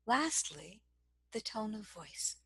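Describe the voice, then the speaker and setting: A woman with a soft, very breathy voice teaches in a slow, measured way. Her tone stays gentle and carries a gravelly edge of fry. The sound is close and dry. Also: medium pitch, sing-song, dry recording. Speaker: cross-cultural communication trainer. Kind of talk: instructional lesson to camera.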